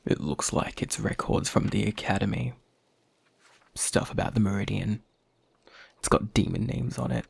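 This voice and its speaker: A man voicing a surly, boastful young werewolf underling in an audio roleplay, sullen and defensive, with a gravelly, husky voice. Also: fairly low pitch, normal speed, pauses often, full character voice.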